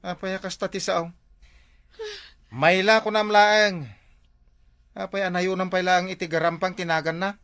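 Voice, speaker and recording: A man's voice: high voice, fairly even intonation, unhurried, long pauses, fairly bright tone, clear, resonant tone. Jealous husband for barangay comedy male actor: grumpy, suspicious, scolding everyday delivery. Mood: defensive. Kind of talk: Ilocano barangay comedy drama acting.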